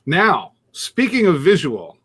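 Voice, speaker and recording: A man's voice: fairly high pitch, wildly swooping pitch, slow pace, a bit bright, breathy edge. Man walking through a streaming setup. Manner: explains tech step by step, chuckles, trails off. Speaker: co-host demonstrating streaming setup. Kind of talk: casual live-stream chat. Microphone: Bluetooth earpiece.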